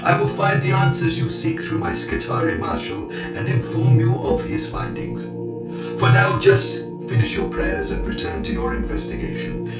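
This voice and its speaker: Casting: condescending elder cyborg priest-lord, breathy rasp, very animated pitch, echoing, pompous and cold. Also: male voice, moderate pace. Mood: dismissive, commanding. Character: haughty elder male machine-priest lord, cold and dismissive. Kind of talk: audio drama acting. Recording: echoing voice under loud music.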